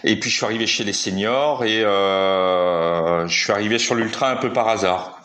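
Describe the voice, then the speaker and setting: A man's voice: on the low side, moderate pace, a bit bright. A man in his forties from eastern France, a cycling coach speaking as a guest, hesitant with many fillers and self-corrections. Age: 40s. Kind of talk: casual interview.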